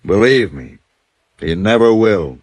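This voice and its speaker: A man's voice: on the low side, lively intonation, slow pace, a little mellow, no echo. An actor playing a middle-aged wealthy father, formal, commanding and condescending, in a vintage radio drama. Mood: scornful amusement. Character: stern wealthy businessman father, courteous but snobbish. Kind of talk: 1950s radio drama acting.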